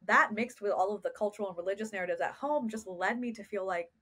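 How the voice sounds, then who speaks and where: A woman's voice: medium pitch, fast talker, a bit bright, breathy edge. A woman, a therapist speaking as a guest on a podcast interview, earnest and reflective, often posing rhetorical questions. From United States.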